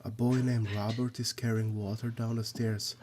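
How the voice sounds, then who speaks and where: Flat-voiced man narrating. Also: on the low side, normal speed, slight background noise. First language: Swedish. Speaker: YouTube film analyst. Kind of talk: scripted narration. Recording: voice-over with guitar music behind.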